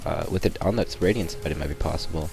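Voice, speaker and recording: A young man with a raspy voice, sick, gives a rambling gameplay walkthrough in a very low register. Loud music plays behind his voice-over. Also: fast. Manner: rambling, many ums and restarts. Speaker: gameplay commentator. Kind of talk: casual gameplay tutorial voice-over.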